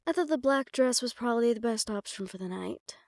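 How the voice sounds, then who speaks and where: A woman's voice: a little high, expressive, fairly quick, darkish tone, no room sound, studio quality. Casting: female actor as composed, nervy right-hand woman to a crime boss, intimate audio drama delivery. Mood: pleased, nervous. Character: poised, anxious female right-hand assistant to mafia boss. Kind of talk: intimate audio roleplay acting.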